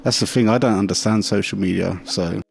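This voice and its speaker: A man's voice: sits low, a little fast, creaky, breathy edge, faint music behind. A man with an English accent in a casual, slangy chat. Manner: slangy, informal. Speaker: talk show participant.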